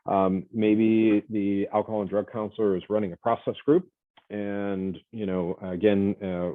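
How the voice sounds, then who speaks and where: Deep-voiced man, loud lecturing, tinny audio. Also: measured pace, dry recording. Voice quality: loud, projecting voice. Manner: energetic, conversational, with many fillers and self-corrections. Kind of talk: recorded college lecture. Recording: dry, phone-like lo-fi audio.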